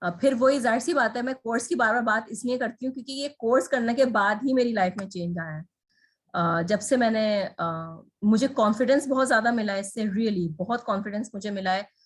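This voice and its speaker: A woman's voice: a little high, fairly quick. A woman, a copywriter interviewed as a guest, speaking earnestly and encouragingly, often switching into English. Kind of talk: casual Urdu-English interview.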